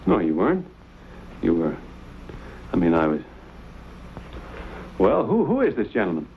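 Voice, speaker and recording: An actor playing a brash, good-natured young frontiersman with a folksy manner that turns halting when he is flustered, in an old-time western drama. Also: deep voice, huge pitch swings, gravelly, highs cut off, slight background noise, heavily acted. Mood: flustered, earnest.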